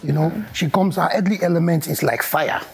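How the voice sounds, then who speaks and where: Rambling male commentator with a gravelly, higher voice and faint background music. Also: brisk. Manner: explanatory, rambling, fond of saying "you know". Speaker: panelist. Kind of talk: talk-show commentary.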